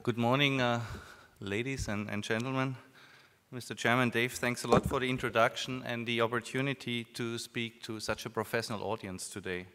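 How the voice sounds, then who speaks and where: A man with a German accent giving a business presentation at a conference, speaking in a measured way with fillers. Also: medium pitch, steady tone, bright, forceful tone. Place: conference hall. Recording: reverberant hall, PA.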